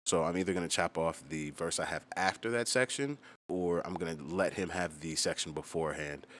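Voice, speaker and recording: A man's voice: deep voice, fairly quick, a bit bright, a little hiss or hum. An American man talking casually about his own music as a rapper and video host, rambling and swearing freely. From United States.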